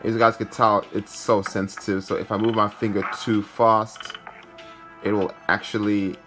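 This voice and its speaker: A man hosting a gaming video, giving casual commentary as he plays. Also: on the low side. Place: indoor room, filming a handheld phone.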